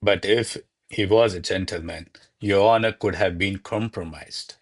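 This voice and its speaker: Man as nervous obedient manservant, lowish voice, formal, placating, theatrical radio drama, dry recording. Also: a little slow, no echo. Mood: defensive. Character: deferential, flustered male servant of a noble lady. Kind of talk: radio play acting of a classic comedy.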